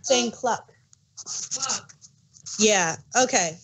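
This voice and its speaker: A woman's voice: medium pitch, extremely slow, slight background noise, low-quality recording. A woman chatting casually and informally as the co-host of a podcast. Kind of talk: casual chat.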